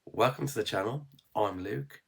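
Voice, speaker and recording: Nasal, gravelly-voiced man with a cold. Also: on the low side, moderate pace. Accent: West Midlands English. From England. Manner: rambling, frank, with fillers and restarts. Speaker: frugal living vlogger. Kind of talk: casual reflective vlog.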